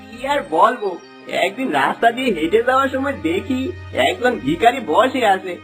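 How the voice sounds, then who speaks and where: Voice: medium pitch, lively intonation, normal speed, fairly bright tone, lightly acted. An actor plays a greedy village man in a moral folktale cartoon, full of regret. He pleads and confesses in a plaintive, self-pitying way. Music plays underneath. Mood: ashamed confession.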